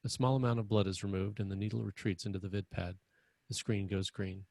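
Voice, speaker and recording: A man's voice: on the low side, fairly even intonation, fairly quick, vocal fry, darkish tone, dry recording. A man narrating screenplay stage directions in a scripted read.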